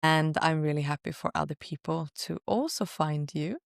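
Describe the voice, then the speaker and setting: A Swedish woman hosting a podcast, reading a scripted intro and asking gentle questions. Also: deep voice, normal speed, vocal fry, no room sound, clean studio sound. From Sweden. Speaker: podcast host. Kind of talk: podcast interview.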